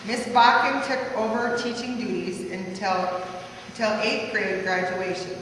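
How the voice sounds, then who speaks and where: A woman's voice: mid-range voice, fairly even intonation, unhurried, fairly bright tone. Woman, reading rhyming verse aloud, occasional slips, heavy echo, faint background noise. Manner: stumbles over words as she reads. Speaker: reader of a family life story. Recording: echoey hall from far off, faint noise.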